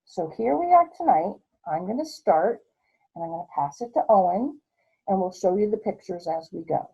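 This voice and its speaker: American lady doing kids storytime, husky mellow voice, encouraging, kinda muffled recording. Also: mid-range voice, normal speed. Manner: encouraging, expressive with children. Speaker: host, nonprofit executive director. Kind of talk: read-aloud storytime for children. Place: office.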